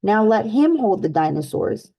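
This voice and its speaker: Woman voicing a mother rabbit, storybook, calm, kindly, a bit gravelly. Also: mid-range voice, moderate pace, full character voice, poor audio.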